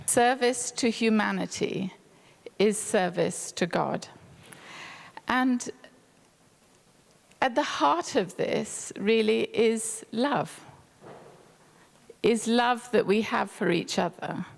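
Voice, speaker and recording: A woman speaks slowly in a slightly high voice with an earnest, measured delivery. A gravelly rasp runs under it. A long hall echo follows from a distance. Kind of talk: inspirational talk.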